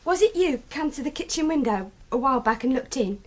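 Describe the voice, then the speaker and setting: A timid maid in a radio drama. Her voice is high, and she speaks politely and deferentially. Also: animated, brisk, darkish tone. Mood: nervous.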